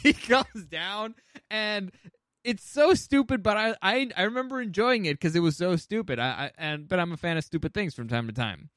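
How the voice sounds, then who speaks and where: A man's voice: high-pitched, animated, moderate pace, fairly bright tone, no room sound, studio quality. A man co-hosting a casual talk show, giving blunt opinions with some swearing and stammered restarts as he runs through a movie ranking. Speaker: podcast co-host.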